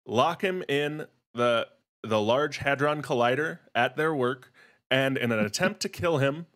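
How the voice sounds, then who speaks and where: A man's voice: medium pitch, unhurried, a bit bright. A man speaking as a podcast panelist, making his case in a casual, conversational debate style.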